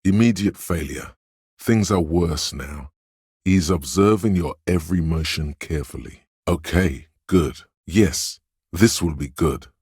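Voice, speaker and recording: An actor voicing a sly, coaxing inner voice of a detective's mind in a video game, deliberate and theatrical, with a gravelly, husky tone. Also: male voice, low voice, a little mellow, dry recording, clean studio sound, exaggerated voice. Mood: cautioning, calculating. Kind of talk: scripted game voice-over narration.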